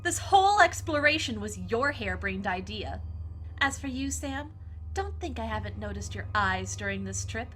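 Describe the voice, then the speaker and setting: A woman's voice: mid-range voice, lively intonation, normal speed, a bit bright, faint music behind, natural voice. A woman playing a spooked, snappish young girlfriend who complains dramatically in a cheesy horror audio drama. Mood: snide, angry.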